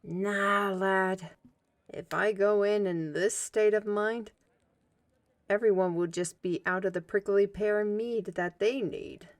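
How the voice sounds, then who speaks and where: A woman's voice: medium pitch, fairly even intonation, slow, no echo, studio quality. A woman voicing a weary female steward who talks in a subdued, deflecting way.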